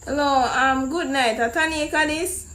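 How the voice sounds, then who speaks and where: A pushy, indignant young Jamaican woman acted out by a man confronts someone on a prank call. The voice is high and sharp, demanding and full of curses. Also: moderate pace. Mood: insistent. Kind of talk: improvised prank phone call.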